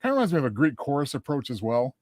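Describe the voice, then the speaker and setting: A man's voice: medium pitch, sing-song, racing, darkish tone, dry recording, studio quality. A man co-hosting a podcast with casual, conversational commentary. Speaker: podcast host.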